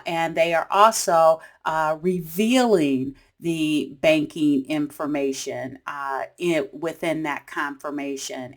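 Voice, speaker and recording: Woman with a pretty low voice hosting a how-to explainer, talks it through conversationally. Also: fairly bright tone. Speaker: host of a tips series. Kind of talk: instructional explainer for business teams.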